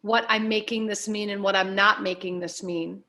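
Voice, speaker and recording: A woman being interviewed as a life coach, giving advice in deliberate, emphatic phrases with measured repetition. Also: mid-range voice, fairly even intonation, sharp tone.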